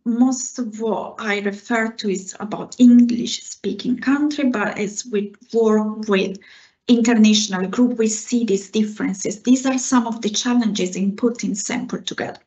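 A woman's voice: fairly high pitch, unhurried, darkish tone. A woman in her forties from Italy with an Italian accent, a researcher earnestly answering questions after her talk over a video call. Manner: earnest, explains with hand gestures. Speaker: guest speaker, researcher. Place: home room, indoors. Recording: slightly dull video call audio.

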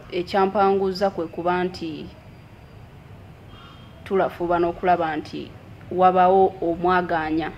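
A young woman with a Ugandan accent, seated in an office, explaining her award in a measured, proud way. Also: on the low side. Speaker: journalist interviewee. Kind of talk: interview answer. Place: office with armchair. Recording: dull sound, faint ambient noise.

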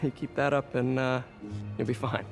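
A man's voice: mid-range voice, flat delivery, moderate pace, vocal fry, faint music behind, lightly acted. An actor playing an earnest young male superhero in a video game, an American who talks in an informal, reassuring way. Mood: coaching. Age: young adult. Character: young male superhero scientist, earnest, encouraging, wisecracking mentor. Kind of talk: acted video game dialogue.

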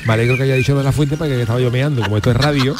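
A man's voice: medium pitch, steady tone, very fast, close to an everyday voice. An actor playing a cheeky, chatty everyman with an Andalusian accent from Cádiz in comic radio theatre. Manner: colloquial, joking, repetitive. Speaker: comedian in a radio sketch show. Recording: music bed behind studio voice.

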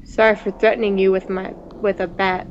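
A woman co-hosting a gaming video, reading visual novel text aloud in a playful, expressive way with casual asides. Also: medium pitch, brisk. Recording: dull, noisy home recording.

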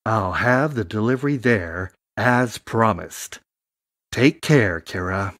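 A man plays a chatty, prying tradesman in an audio drama. His pitch moves about freely in a dry recording. He talks in a familiar, gossip-hungry way. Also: lively intonation, slow pace, a bit bright, dry recording. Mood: breezy.

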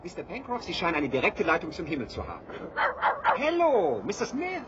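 An actor playing an urbane, wry gentleman castaway with polished, witty speech in a radio play. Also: high voice, huge pitch swings, a little fast, highs cut off, slight background noise, full character voice. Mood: reassuring.

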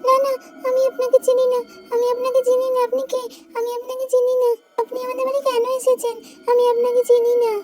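A woman's voice: very high-pitched, steady tone, moderate pace, music in the background. A woman voicing a frightened little girl. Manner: small, childlike, pleading. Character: small timid girl, lonely and frightened.

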